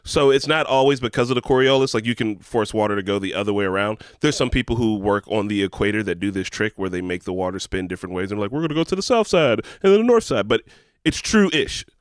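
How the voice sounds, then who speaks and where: A man's voice: on the low side, lively intonation, quick pace, clear, resonant tone, no room sound, clean studio sound. A man co-hosting a podcast, explaining things emphatically in a casual, sweary group chat. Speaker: podcast co-host.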